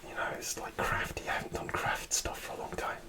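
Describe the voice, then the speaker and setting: A man softly whispering a rambling ASMR story, with many fillers and restarts. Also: fairly quick. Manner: soft, relaxed whisper throughout. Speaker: ASMR creator.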